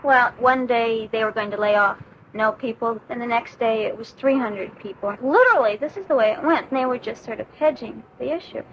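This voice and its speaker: A woman with a fairly high voice gives a casual testimonial. She sounds like an interviewee, on a dull 1970s radio recording. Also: brisk, a little hiss or hum. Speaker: airline stewardess giving testimonial.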